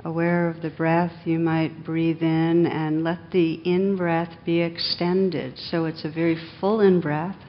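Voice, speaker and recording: Low-voiced woman guiding meditation, slightly muffled. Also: level tone. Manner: gentle, unhurried, with long pauses. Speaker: meditation teacher. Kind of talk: guided meditation. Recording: dull, muffled sound with faint rumbling noise.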